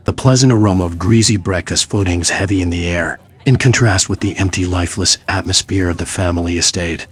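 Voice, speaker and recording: A man's voice: sits low, a little fast, slightly rough. A man reading scripted, descriptive and wry second-person narration for a visual novel.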